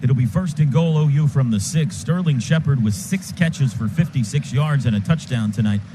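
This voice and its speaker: A man doing excited radio play-by-play of a football game in clipped, rapid-fire calls. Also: medium pitch. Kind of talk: live football commentary. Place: stadium radio booth. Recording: noisy stadium broadcast booth.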